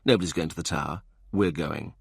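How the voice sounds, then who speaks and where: A man's voice: rumbling low, a little fast, vocal fry, slightly rough, no echo, studio quality, voice pushed down, full character voice. A man voicing a shrewd, blunt adviser of humble birth who speaks curtly. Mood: stern. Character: shrewd, blunt male adviser, low-born.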